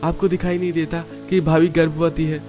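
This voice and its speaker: A man's voice: a little high, little pitch movement, moderate pace, music in the background, pitched above the performer's own voice, close to an everyday voice. A man voicing an indignant, protective young brother-in-law in a scripted cartoon.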